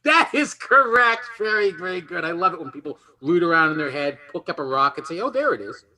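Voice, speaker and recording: A man hosting a lively quiz game, teasing and encouraging the contestants as he goes. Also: a little high, fairly quick. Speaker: game show host.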